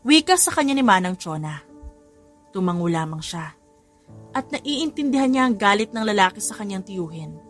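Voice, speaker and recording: A woman's voice: mid-range voice, sing-song, moderate pace. A woman giving a scripted, dramatic narration of a story as an audiobook narrator. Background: background music.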